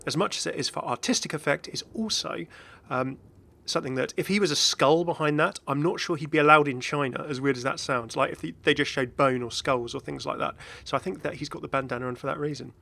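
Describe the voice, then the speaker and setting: A man's voice: a little high, quick pace, gravelly, few pauses, bright, forceful tone. A man hosting a gaming video with casual, chatty commentary that drifts into hesitant asides. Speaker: video host, game content creator.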